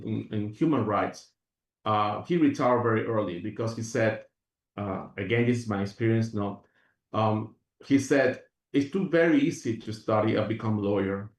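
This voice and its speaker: A man's voice: lowish voice, reverberant, distant mic. A man from Venezuela, a guest on an interview show, talking in an earnest, rambling way with many restarts. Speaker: guest, functional medicine practitioner. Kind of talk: reflective interview talk.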